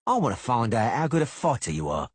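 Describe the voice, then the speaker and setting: A man voicing a brash, hotheaded young male duelist who jeers and shouts his challenges, with a husky voice. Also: medium pitch, very animated, fast, no echo, voice pushed up. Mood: taunting. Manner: loud, jeering, shouting challenges.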